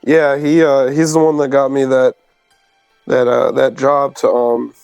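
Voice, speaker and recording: A man's voice: medium pitch, flat delivery, a little slow, vocal fry, a little mellow, clean tone, no room sound, faint music behind. A man playing a tabletop game, chatting casually in an informal, hesitant way. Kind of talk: casual roleplay chat.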